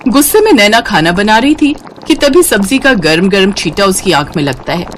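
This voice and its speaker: A woman narrating an animated family storybook in an even, storytelling way. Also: mid-range voice, brisk, a little hiss or hum.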